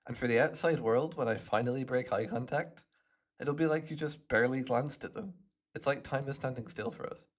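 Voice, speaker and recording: A man's voice: medium pitch, close to an everyday voice. A man acting out a smug, chatty imprisoned supervillain with hypnotic telepathy, musing playfully to the listener in an ASMR roleplay. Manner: playful, smug, unhurried musing with little chuckles.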